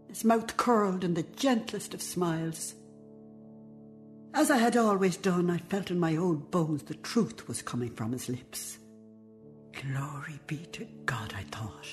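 An older Irish actress in a radio play, playing a devoted, plain-spoken old woman in a heartfelt way. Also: fairly low pitch. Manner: expressive, heartfelt. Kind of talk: radio drama narration and dialogue.

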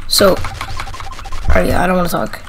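An excitable gamer giving casual gameplay commentary and calling out to other players in slangy, profane language. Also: mid-range voice, fairly quick, creaky, soft background music. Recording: noisy mic with keyboard clicks.

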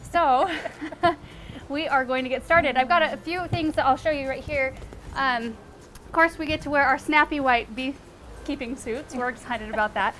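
A woman's voice: high-pitched, normal speed, a bit bright. A young woman hosting a gardening show, chatting casually and explaining things with light laughs and frequent ums. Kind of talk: casual how-to narration. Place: outdoor backyard garden, windy.

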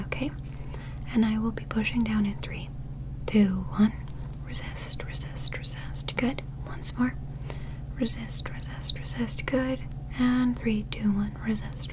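Casting: young woman as a calm alien medical examiner for ASMR, soft breathy whisper, higher-pitched, gentle clinical delivery. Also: lightly acted, low-quality recording. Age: young adult. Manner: gentle, slow, methodical, clinical. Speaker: ASMR performer.

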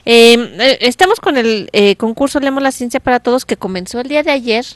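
A woman in her thirties hosts a studio interview, speaking into a handheld mic on a small television set. Her voice sits a bit high, and she talks in a chatty, rambling way, repeating and restarting her phrases as she goes. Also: expressive, brisk, dry recording. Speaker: TV show host.

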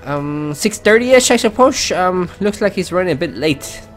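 A man's voice: high-pitched, animated, moderate pace. A man hosting a let's play, reading game text aloud with casual commentary. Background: game music.

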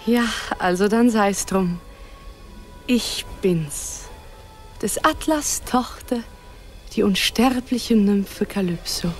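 An actress playing an immortal sea nymph, stately and lovelorn, solemn with hushed phrases, in a radio play. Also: female voice, medium pitch, slow pace, faint music behind, lightly acted. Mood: stately admission. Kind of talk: German radio play acting.

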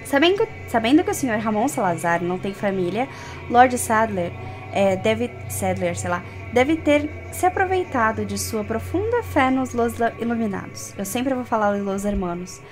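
A woman's voice: fairly high pitch, very animated, moderate pace. A young adult woman with a Brazilian accent giving casual, playful commentary as she streams gameplay. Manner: playful, talks to the game character and viewers. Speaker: gaming streamer. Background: game music.

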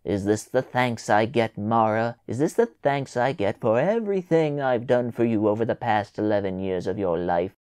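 A man's voice: lowish voice, lively intonation, moderate pace, hardly any pauses, smooth, no room sound, studio quality, voice pushed down, natural voice. A man voicing a furious scolding mother. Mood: reproachful. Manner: scolding, loud and emphatic. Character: stern, furious mother of a girl.

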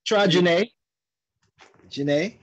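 An older man introducing himself at a community meeting on a video call, slow and halting with many ums. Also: high-pitched, no room sound. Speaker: committee co-chair. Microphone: video call, phone or laptop camera. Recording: dull lo-fi call audio.

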